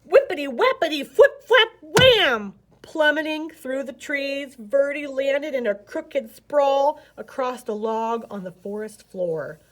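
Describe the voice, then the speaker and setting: High-voiced woman reading a storybook expressively. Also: slow, hardly any pauses. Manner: animated, dramatic storyteller emphasis. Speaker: children's librarian reading at story time. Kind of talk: expressive picture book read-aloud.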